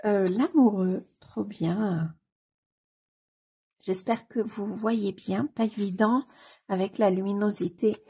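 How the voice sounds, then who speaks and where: A woman with a cold gives casual, gushing commentary as she leafs through a book, often pausing on fillers and repeating her delight. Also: medium pitch, steady tone, mellow, husky. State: has a cold. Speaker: coloring book YouTuber.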